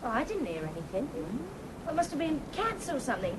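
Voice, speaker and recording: Casting: innocent-sounding young daughter, coaxing, fairly high and lively, off-mic in heavy noise. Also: female voice, fairly quick. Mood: sweetly coaxing. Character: sweetly distracting young daughter chatting up policeman. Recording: distant voice in loud ambient noise.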